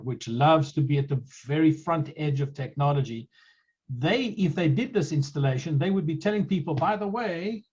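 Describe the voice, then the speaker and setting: A man's voice: on the high side, a little mellow, slightly rough, muffled recording. A middle-aged South African man hosting a conversational interview, telling stories with frequent restarts. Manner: chatty, storytelling, frequent restarts. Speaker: podcast host.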